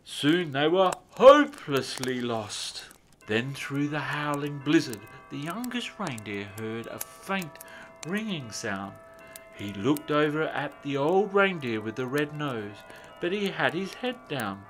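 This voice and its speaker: A man reading a children's story as narrator, in a measured, expressive way. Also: fairly high pitch, soft background music.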